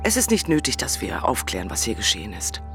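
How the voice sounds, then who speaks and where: An actress playing a cool-headed, scheming woman with a brisk, controlled manner in a radio play. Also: a bass voice, wildly swooping pitch, heavily acted. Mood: calculating. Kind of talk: German radio play acting. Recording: music behind.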